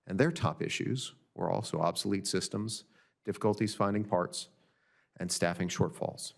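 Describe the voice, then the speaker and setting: A man reading a prepared formal statement as an expert witness at a government hearing, in a measured, matter-of-fact way. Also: deep voice, creaky. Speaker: government audit director testifying as a witness. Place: legislative hearing room.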